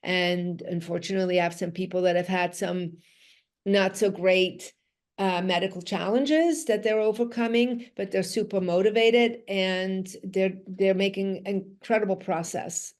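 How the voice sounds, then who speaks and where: A middle-aged woman from Switzerland, Dutch by birth, talks earnestly over a video call. Her voice sits at an ordinary middle pitch, and she often circles back on her own phrases. She keeps slipping in "you know" as she explains her work. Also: fairly even intonation, unhurried, darkish tone. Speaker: guest, physical therapist. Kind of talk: conversational interview. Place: home, in Switzerland.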